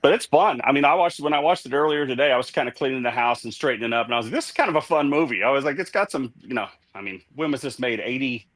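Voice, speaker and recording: A man's voice: medium pitch, fast, hardly any pauses, fairly bright tone, no room sound. A man in his fifties, getting over a head cold, chatting casually as a guest in a watch-along stream. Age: 50s. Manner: rambling, chatty storyteller. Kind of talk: casual chat.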